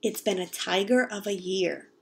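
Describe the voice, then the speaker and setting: A young woman reading a picture book aloud to children in an expressive, explanatory way. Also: mid-range voice, moderate pace, gravelly, a little hiss or hum. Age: young adult. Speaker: read-aloud storyteller, teacher. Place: home kitchen, indoors.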